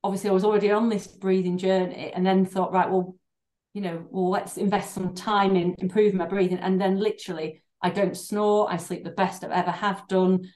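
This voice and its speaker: A woman's voice: medium pitch, level tone, fairly quick, a little mellow. A middle-aged woman, a doctor and breathing therapist, talking in a podcast interview in an earnest, rambling way with many restarts and fillers. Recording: roomy echo.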